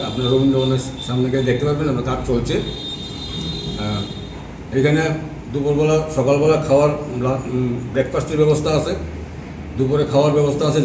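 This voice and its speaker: A man with a Tripura Bengali accent speaks haltingly as an association spokesman briefing a press conference. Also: mid-range voice. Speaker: trade association secretary, spokesman. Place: press meet in an echoey room. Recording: echoey, distant mic, noisy room.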